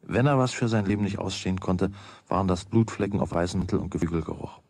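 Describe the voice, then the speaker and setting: A man's voice: deep voice, fast talker, darkish tone, husky, no room sound. A man narrating a children's radio play as its storyteller, in a scripted storytelling style. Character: storyteller of a boy's everyday adventures.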